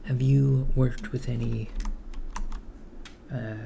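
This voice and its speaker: A soft, half-whispered man playing a villainous hiring officer, polite and matter-of-fact in an ASMR roleplay. He talks very slowly and haltingly, with little rise or fall in pitch. Clear background noise and keyboard typing sit behind him. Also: flat delivery. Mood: businesslike. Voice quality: soft-spoken, often slipping into whisper. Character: polite, bureaucratic male henchman recruiter at an evil corporation. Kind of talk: ASMR roleplay, improvised job interview. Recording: clearly noisy close recording, keyboard typing. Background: computer keyboard typing.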